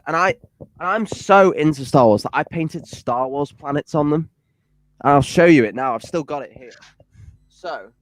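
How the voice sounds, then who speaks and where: A man's voice: a little high, low-quality recording. A young man, a guest in a casual livestream chat, rambling with jokey, self-deprecating asides.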